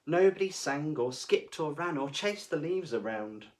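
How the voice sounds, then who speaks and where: A man reading to children as a storyteller, with expressive, deliberate and dramatic phrasing. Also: fairly high pitch. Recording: voice far from the mic.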